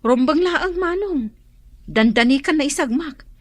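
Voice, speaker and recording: A woman's voice: sits high, animated, measured pace, no room sound. An actress playing a worried woman caring for her older brother, speaking earnestly in a radio drama.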